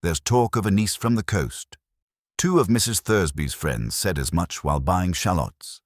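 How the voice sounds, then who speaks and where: A man's voice: low voice, normal speed, no echo, studio quality, pitched above the performer's own voice. A man voicing an agreeable, dutiful junior policeman who reports drolly and matter-of-factly in a mystery story. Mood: dutiful, droll.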